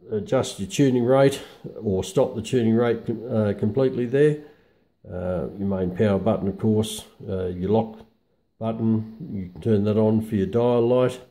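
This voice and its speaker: Slow, low-voiced Australian man, halting delivery. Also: gravelly, mellow. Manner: halting, hesitant delivery with very frequent pauses. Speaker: hobbyist radio reviewer. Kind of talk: casual unscripted product review.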